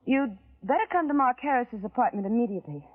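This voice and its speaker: A woman's voice: on the high side, lively intonation, quick pace, no echo. An actress playing a troubled, guarded businesswoman in an old radio drama, talking in tense, hesitant, clipped replies. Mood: cold. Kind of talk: 1940s radio acting. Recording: tinny old radio broadcast.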